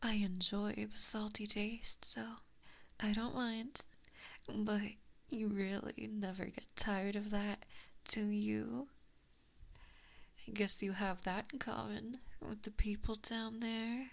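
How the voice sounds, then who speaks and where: Female actor as giant kitsune villainess for ASMR roleplay: unhurried, taunting whisper with creaky edges, mock-sweet and possessive, very close mic. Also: mid-range voice, level tone. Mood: sadistic, teasing. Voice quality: whispery, breathy. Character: evil, possessive giant kitsune fox-woman, teasing and cruel. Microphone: close binaural microphone.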